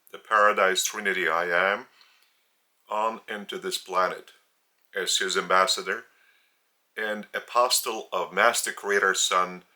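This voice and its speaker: A man with a Lithuanian accent, a native Lithuanian speaker, giving a spiritual teaching from home in a slow, deliberate, earnest way with many pauses. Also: lowish voice, pushed and bright. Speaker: religious teacher. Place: indoors at home.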